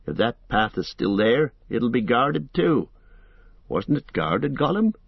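A man's voice: mid-range voice, big pitch swings, slow, vocal fry, slightly rough, no echo, muffled recording, full character voice. A man voicing a blunt, skeptical young male servant who voices his doubts plainly.

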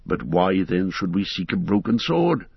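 A man's voice: low-pitched, big pitch swings, moderate pace, gravelly, husky, dry recording, full character voice. Proud warrior guy, narrator doing him, blunt and a bit lifted, dull dry recording. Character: proud warrior man from a besieged city.

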